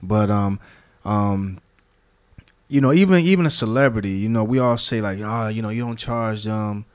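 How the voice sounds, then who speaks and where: Low-voiced man with a laid-back slangy drawl. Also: moderate pace, clean tone. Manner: relaxed slangy talk with many restarts and fillers. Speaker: magazine founder. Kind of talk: casual interview conversation.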